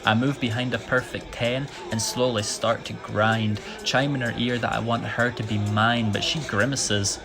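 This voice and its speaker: A man's voice: fairly low pitch, fairly even intonation, moderate pace, few pauses, music in the background. A young British man performing rhymed slam poetry, telling a story with a rhythmic, theatrical delivery. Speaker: slam poet.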